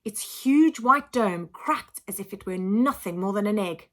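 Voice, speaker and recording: A woman narrates a children's storybook at a medium pitch. She reads with dramatic emphasis and expressive phrasing, in the manner of a teacher reading aloud. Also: a little slow. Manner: dramatic, emphatic, acts out sound effects.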